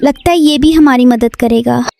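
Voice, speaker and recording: A woman's voice: high-pitched, moderate pace, gravelly, a little mellow, no room sound. A woman playing a sensible, brave girl leading a treasure hunt in a children's cartoon story. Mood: purposeful.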